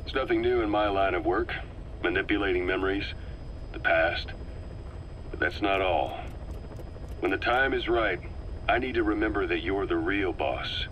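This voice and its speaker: An actor as a deep, calculating intelligence operative. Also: male voice, highs cut off, slight background noise, lightly acted. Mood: measured, resolute. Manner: measured, deliberate, controlled. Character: calm, calculating middle-aged male intelligence operative. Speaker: video game character. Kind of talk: dramatic game dialogue, briefing.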